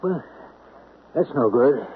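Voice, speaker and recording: An actor playing an anxious, guilt-ridden husband, hesitant and easily agitated, in old-time radio drama style. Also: male voice, mid-range voice, expressive, takes it slowly, slight background noise. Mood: flustered irritation. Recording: tinny, band-limited old radio recording.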